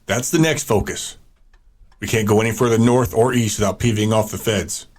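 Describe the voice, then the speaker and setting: Man as low-voiced, self-assured fighting lieutenant. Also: steady tone, moderate pace, darkish tone, natural voice. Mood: cocky, measured. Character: confident, cautious male fighter and military lieutenant. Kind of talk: post-apocalyptic audio drama acting.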